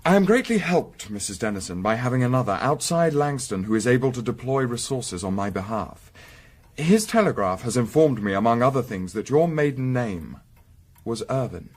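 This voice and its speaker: An actor playing a composed gentleman investigator in a Victorian radio drama, speaking formally and measuredly. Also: mid-range voice, sing-song, moderate pace. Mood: probing, cool. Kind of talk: period radio drama acting.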